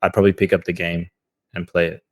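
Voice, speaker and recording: Guy with a deep voice, casual podcast cohost, kinda circles back over his words. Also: level tone, fast. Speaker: podcast co-host.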